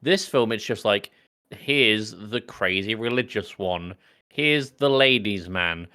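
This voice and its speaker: A man's voice: lowish voice, takes it slowly, sharp tone, clear, resonant tone. A man co-hosting a podcast, chatting casually about films in a teasing, joking way. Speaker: podcast co-host. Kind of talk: casual film chat.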